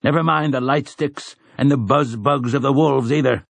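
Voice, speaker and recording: A man's voice: medium pitch, steady tone, moderate pace, gravelly, dry recording, voice pushed up. A man voicing a stern old priest in an audiobook, commanding and oratorical, with rustic, archaic turns of phrase. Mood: stern, urgent. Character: stern, weathered old priest rallying frightened villagers. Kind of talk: audiobook character dialogue.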